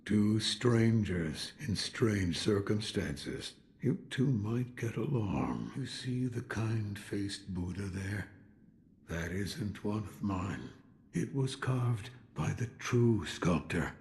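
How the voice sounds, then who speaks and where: An actor playing a gruff, world-weary old sculptor in a video game, brooding and wry in manner. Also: male voice, lowish voice, expressive, takes it slowly, darkish tone, heavily acted. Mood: brooding, cryptic. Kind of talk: video game cutscene acting.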